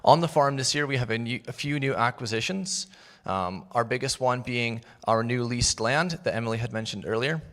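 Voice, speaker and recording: Young male student presenter, halting, mid pitch, hall reverb. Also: fairly bright tone. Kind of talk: class presentation.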